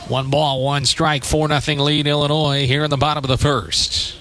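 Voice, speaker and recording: A man's voice: on the high side, little pitch movement, moderate pace, fairly bright tone, slight background noise. A man doing live radio play-by-play of a baseball game in short, punchy, descriptive phrases. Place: open-air stadium press perch.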